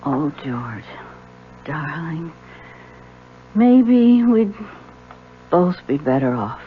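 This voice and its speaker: Frail, wistful radio drama wife. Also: female voice, low voice, big pitch swings, extremely slow, exaggerated voice. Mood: wistful, weary. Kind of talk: 1970s radio mystery drama acting. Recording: tinny, hissy old radio recording.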